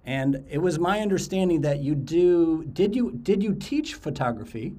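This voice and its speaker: A man's voice: on the high side, expressive, moderate pace, dark tone. A man hosting a podcast, chatting casually with guests and often repeating words as he restarts. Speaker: podcast host.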